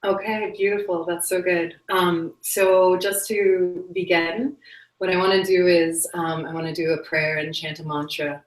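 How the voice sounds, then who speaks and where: Low, echoey woman hosting a live circle. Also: flat delivery, unhurried. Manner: soft-spoken, dreamy, many fillers. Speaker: host. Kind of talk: live spiritual broadcast, casual chat. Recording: echoey room.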